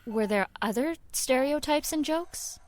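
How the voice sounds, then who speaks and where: An actress in an audio drama playing an earnest, naive young granddaughter who asks puzzled, innocent questions. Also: female voice, sits high, lively intonation, a little slow, darkish tone. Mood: curious, puzzled.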